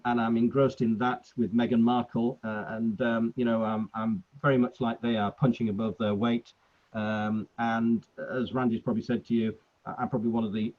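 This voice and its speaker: A middle-aged man, a lawyer giving a rambling, chatty webinar talk full of fillers and restarts. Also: lowish voice. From England. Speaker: property lawyer presenting a webinar. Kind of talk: webinar presentation. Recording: muffled online call audio.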